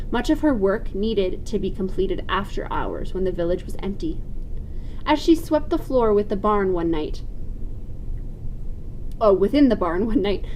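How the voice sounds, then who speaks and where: A woman reads a ghost story aloud with a dramatic, suspenseful delivery. Her voice sits at an average pitch, and there is some faint noise in the recording. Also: darkish tone, poor audio. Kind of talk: expressive read-aloud of a spooky story.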